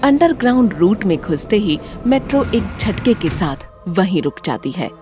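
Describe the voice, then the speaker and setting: A woman's voice: medium pitch, big pitch swings, moderate pace, over music. A woman narrating a scripted horror story as a dramatic storyteller.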